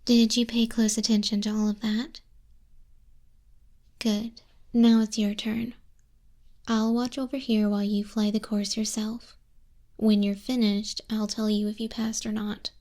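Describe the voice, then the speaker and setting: A woman playing a stern but softening griffin flying instructor in a soft-spoken ASMR roleplay, haughty in manner, close on a binaural mic. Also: mid-range voice, flat delivery, unhurried, long pauses, close to an everyday voice. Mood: calm, approving. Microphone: close binaural microphone.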